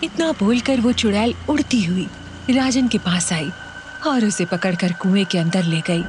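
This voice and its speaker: A woman's voice: lowish voice, noisy background. A woman storyteller giving a dramatic scripted narration of a horror story. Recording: music behind the voice.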